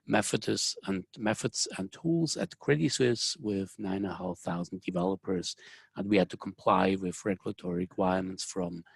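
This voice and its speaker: Swiss man in his fifties, webinar presenter, deep gravelly husky tone, dry close sound. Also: no echo. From Switzerland. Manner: measured, restarts and repeats words. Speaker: webinar speaker, company founder. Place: home or office, joining remotely.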